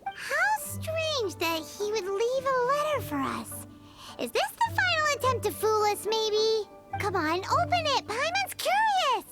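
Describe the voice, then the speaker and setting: A woman voicing a tiny, childlike fairy companion in a game, excitable and nosy, talking about herself in the third person. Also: unhurried, exaggerated voice. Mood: curious. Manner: squeaky, refers to self in third person. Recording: game dialogue over prominent music.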